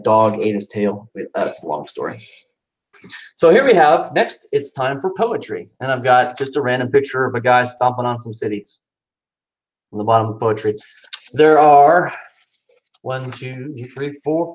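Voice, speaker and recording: A man at home chatting casually about his cat, then reading his own poems aloud with swooping, dramatic delivery. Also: rough recording. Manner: casual aside then dramatic poetry reading with pitch swings. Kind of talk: casual chat and poetry reading.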